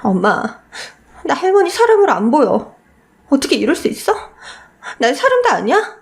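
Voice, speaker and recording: A woman's voice: high-pitched, huge pitch swings, normal speed, creaky, pitched above the performer's own voice, heavily acted. A woman voicing a hurt, indignant teenage daughter pouring out her grievance in a radio story.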